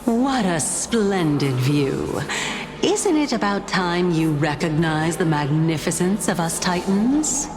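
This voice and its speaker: A woman's voice: lowish voice, animated, slow. A woman playing a proud, teasing Titan spirit in a video game, with a playful and haughty manner. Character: proud, teasing female Titan spirit sharing a man's body. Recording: background music.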